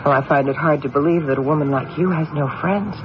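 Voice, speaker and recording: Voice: medium pitch, quick pace, lightly acted. Radio mystery role: skeptical detective voiced by an actor, dry, blunt interrogator, muffled and noisy vintage recording. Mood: skeptical, insistent. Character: probing, skeptical police detective. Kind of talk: old-time radio mystery acting.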